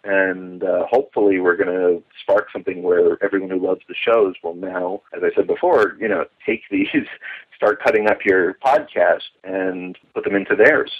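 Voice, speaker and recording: A man with a fairly deep voice talks as a guest on a call. He explains in long, earnest, emphatic runs. The line sounds dull and narrow, like a telephone. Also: fairly even intonation, normal speed. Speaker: podcast guest, radio drama enthusiast. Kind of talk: conversational interview, explaining at length.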